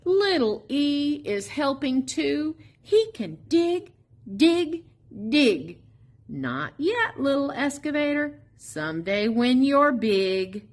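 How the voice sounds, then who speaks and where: A woman reading a children's picture book aloud expressively, in a sing-song, drawn-out way with playful emphasis on sound words. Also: high-pitched, very animated. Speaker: storybook reader at a public library.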